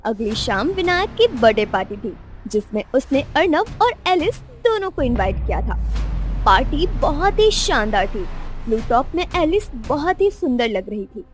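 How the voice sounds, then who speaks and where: A woman's voice: high voice, very animated, normal speed. A woman narrating a scripted romance story in a dramatic style. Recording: music under the voice.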